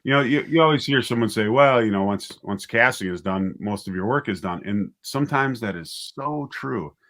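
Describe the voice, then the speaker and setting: A man being interviewed as a writer and director, talking casually and haltingly as he thinks aloud. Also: on the low side, expressive, fairly bright tone.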